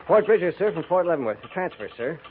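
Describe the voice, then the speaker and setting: Polite frontier corporal: formal military address, lighter pitch, narrow faintly noisy vintage radio. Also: male voice, big pitch swings, quick pace. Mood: respectful. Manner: respectful, formal military reporting. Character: polite, earnest young army corporal. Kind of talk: 1950s radio western acting. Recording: tinny, faintly noisy 1950s radio broadcast.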